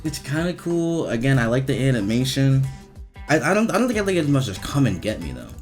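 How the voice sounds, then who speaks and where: A man giving casual, slangy, profane commentary as he rates video game voice lines, with an animated, emphatic delivery. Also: medium pitch, fast, soft background music.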